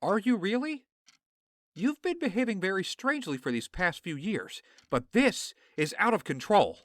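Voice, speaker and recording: A man's voice: high voice, very animated, normal speed, a bit bright, dry recording. A man playing a horrified business partner with a troubled conscience in an audio drama, indignant, pleading and emotional. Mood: accusing.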